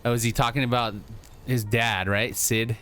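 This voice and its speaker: A man's voice: mid-range voice, fairly even intonation, normal speed, a bit bright. A man co-hosting a gaming video, bantering casually, laughing often and swearing freely. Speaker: co-host.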